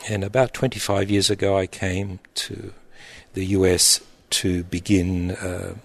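A middle-aged man, an abbot speaking in an interview in a thoughtful, halting way with frequent restarts. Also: low-pitched, level tone, gravelly. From Egypt, raised in Australia. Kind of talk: reflective interview answers.

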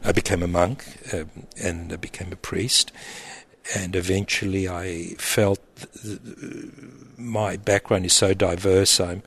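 A middle-aged man, an abbot speaking in an interview in a thoughtful, halting way with frequent restarts. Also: deep voice, level tone, fairly bright tone, breathy edge. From Egypt, raised in Australia. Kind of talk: reflective interview answers.

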